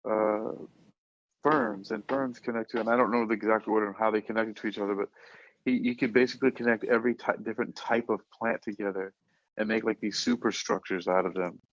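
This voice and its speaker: A man with a fairly low voice co-hosts a casual talk show, musing and rambling as he goes. The audio is somewhat dull and muffled, a lo-fi sound. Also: a little fast, dry recording. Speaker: podcast co-host. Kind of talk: casual chat.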